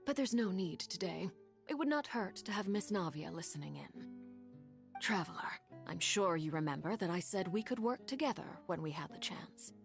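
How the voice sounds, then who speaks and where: A woman playing a formidable, composed commander who speaks formally and deliberately in a video game. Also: lowish voice, animated, vocal fry, faint music behind. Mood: calm, resolute. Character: composed, formidable female commander of a powerful organization.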